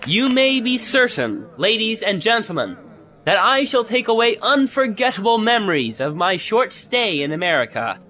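A plucky young reporter, played by a man, speaks earnestly in a high, bright and lively voice. It is radio adventure acting with faint noise behind. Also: normal speed, heavily acted.

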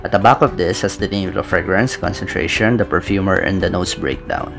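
A young man narrating a scripted fragrance review in a steady, methodical, descriptive way, recorded indoors on camera. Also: deep voice, a little fast. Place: small indoor room with brick-pattern wall. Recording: clear background music under voice. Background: background music.

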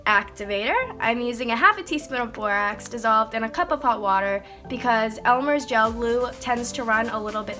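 A woman's voice: on the high side, pushed and bright. A young woman getting over a cold, hosting a slime-making tutorial in a bubbly, enthusiastic voice-over, explaining each step. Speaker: slime-making channel host. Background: background music.

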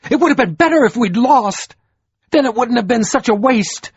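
Guy doing a frantic young warrior, way up high and yelling hard, husky edge, dull lo-fi sound. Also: lively intonation, measured pace, dry recording, heavily acted. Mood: furious. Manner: strident shouting, pleading. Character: desperate furious young male warrior. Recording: dull lo-fi recording.

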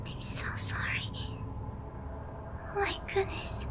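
A woman voicing an obsessive, lovesick young vampire princess in breathy, intimate roleplay ASMR. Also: extremely high pitch, poor audio. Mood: distressed, apologetic. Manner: breathy, pleading, slow and intimate.

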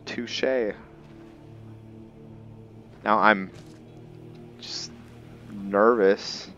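A man giving casual roleplay gameplay commentary, thinking aloud in short, halting phrases as he plays. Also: lowish voice, fairly even intonation, fairly bright tone, highs cut off. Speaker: gaming video host, player. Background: game music.

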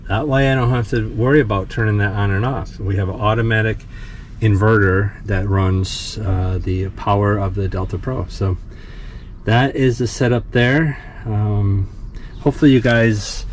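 A man in his fifties walking through a van electrical setup in a rambling, self-deprecating, think-aloud way, filmed on a handheld camera inside a van. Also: lowish voice. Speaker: van-build vlogger. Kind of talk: casual how-to walkthrough. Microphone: handheld action camera. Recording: noisy van interior.

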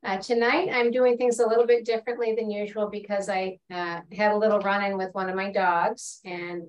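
A woman's voice: mid-range voice, little pitch movement, a little fast. A woman cooking instructor talks casually from her home kitchen. She sits back from the camera, so her voice sounds distant and roomy. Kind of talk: casual talk. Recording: voice from far off the mic.

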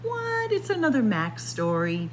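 A woman reading a picture book aloud to children as a storyteller, expressive and deliberate. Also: sits high, a little hiss or hum. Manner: expressive, deliberate, addressing young listeners as friends. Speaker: librarian storyteller. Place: indoor library room with bookshelves.

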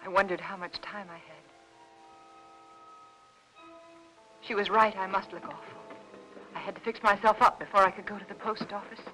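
An actress playing an anxious, evasive American housewife in her thirties in a 1950s film noir, with a halting, nervous delivery. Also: mid-range voice, fairly even intonation, sharp tone, faint music behind, lightly acted, poor audio. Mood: anxious narration. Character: anxious, evasive young housewife hiding panic. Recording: hissy old 1950s film soundtrack.

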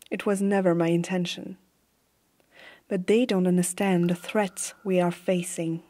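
Woman as fantasy warrior empress, ASMR roleplay, stern and zealous, mellow breathy voice, hushed dramatic delivery. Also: lowish voice. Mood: wounded, earnest.

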